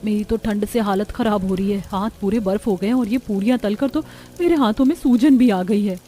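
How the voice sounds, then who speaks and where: A woman's voice: medium pitch, a little fast, mellow, close to an everyday voice. A woman voicing a put-upon young daughter-in-law in a cartoon family drama, plaintive and grumbling. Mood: complaining.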